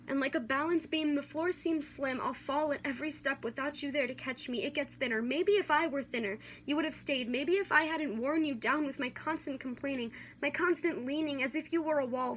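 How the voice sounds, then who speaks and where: A young woman with a high-pitched voice recites slam poetry. Her delivery is earnest and dramatic, carried by a steady spoken-word rhythm. It is an amateur recording with a little noise behind her.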